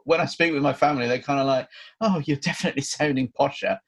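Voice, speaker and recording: A man's voice: on the high side, quick pace. A man from Birmingham with a Brummie accent, co-hosting a podcast in a chatty, laid-back way with plenty of fillers. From Birmingham, England. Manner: chatty, laughs easily, hesitant with fillers. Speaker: podcast co-host. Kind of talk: casual podcast chat.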